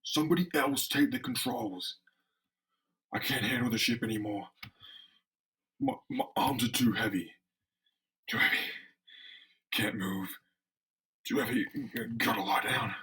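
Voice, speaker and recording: A man acts out a blunt, hot-tempered male pilot from a comic-book drama. His delivery is gruff and emphatic, leaping around in pitch over a middling register. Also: wildly swooping pitch, measured pace, pauses often, bright, forceful tone, exaggerated voice. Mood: pained, straining. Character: gruff, hot-headed tough-guy pilot, adult man.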